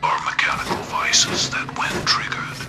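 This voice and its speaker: An actor playing a sinister serial killer in a video game, taunting captives in a hushed, gravelly, deliberate and menacing voice. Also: male voice, faint music behind. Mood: cold judgement. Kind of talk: video game villain recorded message.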